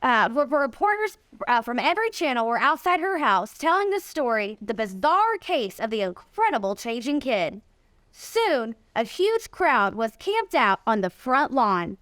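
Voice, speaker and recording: A woman reading a children's storybook aloud in an expressive storytelling way. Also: on the high side, measured pace, no room sound. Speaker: volunteer reader, nonprofit executive.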